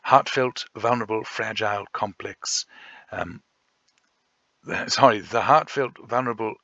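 A lower-voiced teacher talks through a poem over slides in a chatty, self-deprecating way, stopping often to collect his thoughts. His tone is bright and forceful, and the dry, dull recording sounds slightly lo-fi. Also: measured pace, vocal fry, no room sound.

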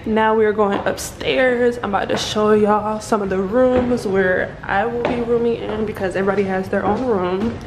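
An American woman in her twenties chatting casually and excitedly in slang as she vlogs a house tour. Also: medium pitch, moderate pace, hardly any pauses. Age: 20s. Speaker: vlogger. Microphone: camera held at arm's length. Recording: handheld camera with some background noise.

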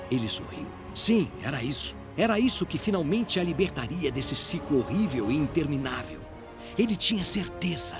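A man with a Brazilian accent narrating a scripted story in a dramatic audio drama style. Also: fairly high pitch.

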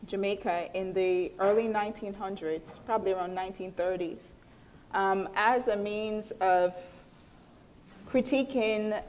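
A middle-aged woman explains a subject to an audience in a thoughtful, halting way. Her voice sits at a medium pitch. She often stops mid-phrase to choose her words. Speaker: lecturer. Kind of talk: explanatory answer to an audience question. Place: indoor hall, speaking to an audience. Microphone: clip-on lapel mic.

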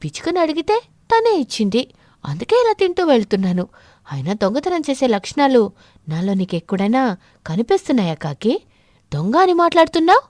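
A woman voicing a sly, thieving pigeon in an animated fable, insinuating and defensive while feigning innocence. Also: high voice, fairly quick, dry recording.

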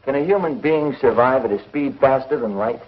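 A man's voice: medium pitch, a little fast, roomy sound, distant mic, lightly acted. Guy playing brave young rocket pilot, straight talking, 50s tv, dull vintage audio. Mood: confident. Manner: confident, plain-spoken. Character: bold young male space pilot hero. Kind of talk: 1950s television serial acting. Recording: muffled 1950s film soundtrack.

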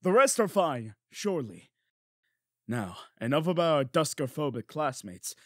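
A man playing an excitable, hot-tempered young prince in a comedic parody dub, devoted and theatrical. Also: fairly high pitch, a little slow, no echo, clean studio sound. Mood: cheerful, eager. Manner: theatrical, foul-mouthed outbursts, earnest pleading.

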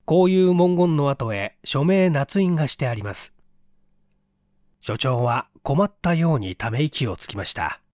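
A man's voice: on the high side, lively intonation, a little slow, darkish tone, no room sound, clean studio sound. A man reading a mystery story aloud as a scripted narration. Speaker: narrator, audiobook reader.

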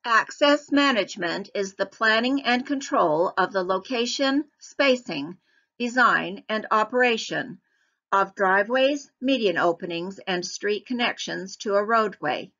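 A woman giving scripted narration for a public hearing slideshow in an even, measured reading. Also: medium pitch, lo-fi sound.

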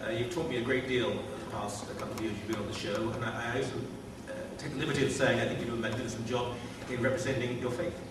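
A man in his fifties introduces panelists with dry, self-deprecating humour and a lot of "uh"s. His voice carries from a distance across a large hall, wrapped in long reverb. A steady hiss sits underneath. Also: medium pitch, fast. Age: 50s. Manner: wry, self-deprecating, hesitant with fillers. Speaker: panel host. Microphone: table mic, distant camera. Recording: echoey hall from far off, noisy.

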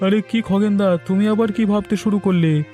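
A man plays a gullible, touchy village brother in a cartoon. His voice sits high for a man, with a mellow, dark tone and a plaintive, indignant delivery. Light music runs behind it. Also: steady tone, normal speed, close to an everyday voice. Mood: troubled, puzzled. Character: gullible, aggrieved married village brother, easily riled. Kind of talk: cartoon voice acting.

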